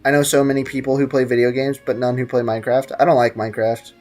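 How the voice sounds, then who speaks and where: A man's voice: medium pitch, little pitch movement, quick pace, darkish tone. A man live streaming a video game, chatting casually and offhandedly with viewers and joking in slang. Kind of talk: casual live stream chat and gameplay commentary, reading out chat. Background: game music.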